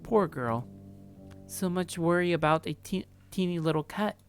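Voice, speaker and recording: A woman's voice: on the low side, a little slow, soft background music, pitched above the performer's own voice. A woman voicing a sly yandere in a visual novel, falsely sweet, composed and teasing. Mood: creepily sweet.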